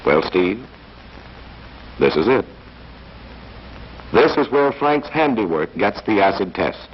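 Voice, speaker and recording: A man's voice: fairly low pitch, sing-song, takes it slowly. A man narrating a 1940s training film in a dramatic, hard-boiled scripted style, as if talking to the character. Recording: muffled, faintly hissy 1940s film soundtrack.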